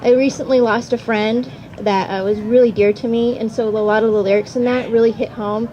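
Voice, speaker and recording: High-voiced young woman, noisy outdoor recording. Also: steady tone, fairly quick, dull audio. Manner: chatty, informal, hesitant. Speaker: student panelist. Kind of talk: casual unscripted panel talk. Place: outdoors at a campground. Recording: outdoor recording with clear background noise.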